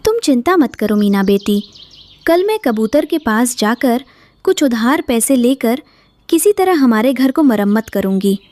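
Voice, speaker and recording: A woman's voice: fairly high pitch, lively intonation, measured pace, dark tone. A woman voicing a kind, forgiving mother bird in a Hindi cartoon. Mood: reassuring.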